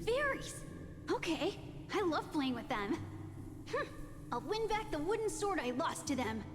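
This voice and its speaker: Woman voicing a very high-pitched, earnest little boy. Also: sing-song, unhurried, full character voice. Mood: eager. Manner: childlike, eager, hesitant. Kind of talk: video game voice acting. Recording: reverberant hall, music underneath. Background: loud music.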